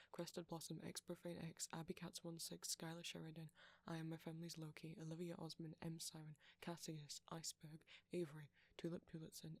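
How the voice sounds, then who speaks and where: A man softly reading out a long list of supporter names as an audio roleplay creator, sometimes dropping into a whisper. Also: fairly high pitch, dry recording. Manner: soft-spoken, slipping into whispers at times.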